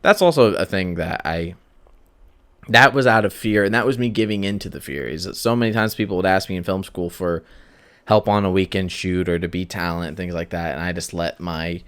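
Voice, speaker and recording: A man hosting a podcast alone, talking casually and candidly in a halting, self-deprecating ramble that circles back on itself. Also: low voice, fairly bright tone, clear, resonant tone. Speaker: podcast host. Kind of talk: unscripted solo podcast monologue, casual chat.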